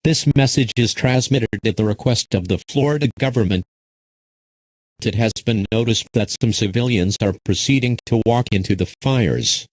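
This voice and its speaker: A man's voice: medium pitch, normal speed, dry recording, rough recording. A man delivers a scripted government emergency message in a formal, stern way. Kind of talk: scripted emergency alert narration.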